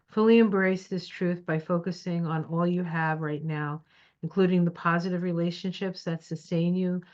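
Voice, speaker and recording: A woman with a slightly low voice and a stuffy nose talks through a tarot reading in an encouraging, reassuring way. She sits at her computer at home, and its microphone gives a dull, lo-fi sound. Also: a little mellow. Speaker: tarot reader. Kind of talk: casual tarot reading to camera.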